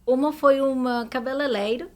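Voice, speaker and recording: Woman, fairly high voice, foreign accent, non-native, halting word searches, podcast guest. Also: a little mellow. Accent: foreign accent in Portuguese. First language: non-native Portuguese speaker. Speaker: guest immigration professional. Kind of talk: conversational podcast interview.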